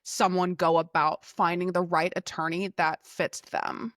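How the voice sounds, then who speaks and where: Thoughtful woman host, lower dry voice. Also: little pitch movement, unhurried, dry recording. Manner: thoughtful, builds questions step by step. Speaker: podcast host. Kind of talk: interview questioning.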